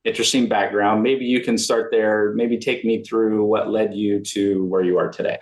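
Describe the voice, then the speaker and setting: Low-voiced male podcast host. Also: level tone, normal speed, poor audio. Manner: friendly, conversational, brief affirmations. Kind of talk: podcast interview.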